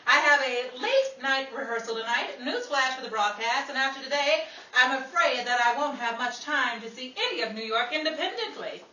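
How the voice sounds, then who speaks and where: A woman's voice: high-pitched, animated, normal speed, few pauses, bright, forceful tone. A woman playing a sardonic, vain 1930s New York radio performer in a dry, wisecracking comedy style. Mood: dry sarcasm. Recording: roomy, distant, noisy with background crowd.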